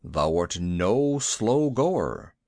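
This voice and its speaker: A man voicing a quick-witted, courteous yet commanding panther in a storybook, with formal archaic phrasing. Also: low voice, very animated, a little mellow, dry recording, voice pushed down, full character voice.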